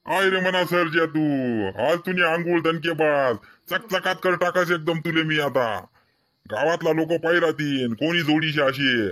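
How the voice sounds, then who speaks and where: A man's voice: on the high side, steady tone, normal speed, fairly bright tone, natural voice. A man voicing a proud, practical farmer father in a comedy cartoon, plain-spoken and directing, with an Ahirani accent. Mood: proud, affectionate.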